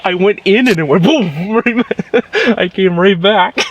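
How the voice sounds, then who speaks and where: A young man hunter telling a story outdoors in an animated way, acting out sounds and laughing as he goes. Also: high-pitched. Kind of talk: excited storytelling. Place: outdoors at a field edge by woods.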